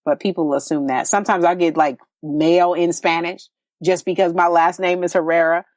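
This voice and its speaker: A woman's voice: lowish voice, fairly even intonation, moderate pace, a little mellow, no room sound, studio quality. Rambling, chatty woman host from California. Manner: rambling, many "like"s and restarts, laughs often. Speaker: podcast host, relationship coach. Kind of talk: casual conversation.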